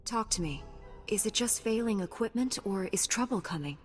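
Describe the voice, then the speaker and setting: An actress playing a calm, steady heroic knight in a video game, composed and reassuring. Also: female voice, mid-range voice, normal speed, lightly acted. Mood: attentive. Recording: long hall reverb, music behind.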